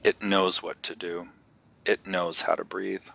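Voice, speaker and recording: A man leading a guided meditation, giving gentle, unhurried instructions with long pauses between phrases. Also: sits low, level tone, gravelly.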